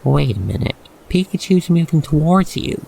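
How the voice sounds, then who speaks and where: A man guiding the listener through an ASMR roleplay in expressive, theatrical, wordy storytelling narration. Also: a little high, moderate pace, vocal fry, slightly rough.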